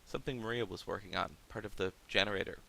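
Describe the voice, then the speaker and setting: Troubled game lab scientist, creaky gravelly voice, lowish pitch, serious, hesitant. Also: male voice, fairly even intonation, quick pace, pushed and bright, heavily acted, rough recording. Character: troubled male lab scientist explaining an accident. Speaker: video game character. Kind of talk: naturalistic game dialogue acting.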